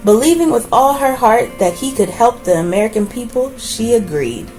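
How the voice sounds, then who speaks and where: A woman reading a children's book aloud as host, with expressive, deliberate phrasing and brief asides. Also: fairly high pitch, faint music behind, rough recording.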